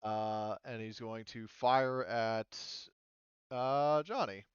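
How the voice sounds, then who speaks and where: Smooth-voiced man running a tabletop game. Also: medium pitch, slow pace, dry recording, lo-fi sound. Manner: informal, swears. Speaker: game master. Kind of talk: casual tabletop game moderating over online session.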